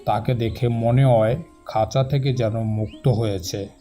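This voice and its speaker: A man's voice: on the low side, steady tone, measured pace, dark tone, faint music behind. A man reading scripted story narration.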